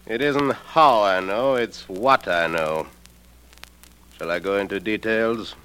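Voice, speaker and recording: A man's voice: on the low side, slow, noisy background. An actor playing a smug, insinuating male blackmailer in an old radio drama. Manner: pointed, insinuating. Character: smug, scheming male blackmailer demanding money. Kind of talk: 1940s radio acting.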